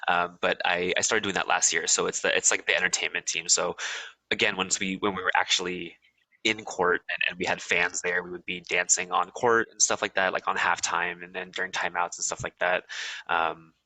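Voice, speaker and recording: Low, bright-voiced young American man. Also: normal speed, no room sound, rough recording. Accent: Californian American. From USA. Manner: relaxed, rambling, many ums and restarts. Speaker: guest. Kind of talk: casual interview chat.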